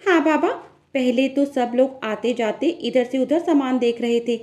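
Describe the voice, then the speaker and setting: A woman's voice: high-pitched, measured pace, a little mellow, pitched above the performer's own voice. A woman voicing eager, hardworking young village sisters who sell clay toys in a cartoon story. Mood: happy, excited.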